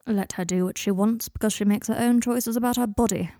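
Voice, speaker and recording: Woman voicing a sardonic young man, mellow and dry. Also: a little high, fairly even intonation, a little fast, dry recording, close to an everyday voice. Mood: gloomy, wry. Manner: sarcastic, rambling confessions with abrupt breaks. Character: sardonic, self-deprecating young man in therapy, deflecting and gloomy. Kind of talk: audiobook character dialogue.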